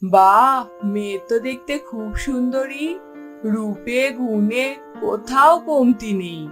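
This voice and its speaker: Slow, haughty cartoon mother-in-law, acted by a woman. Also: fairly high pitch, a bit bright. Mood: haughty, demanding. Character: status-minded, demanding mother of the groom. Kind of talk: cartoon dialogue acting. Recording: music behind the voice.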